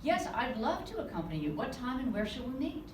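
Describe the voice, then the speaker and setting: A woman author reading aloud from her novel to a live audience, giving the dialogue a lively touch. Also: high-pitched, brisk. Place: conference room. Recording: roomy, distant with background noise.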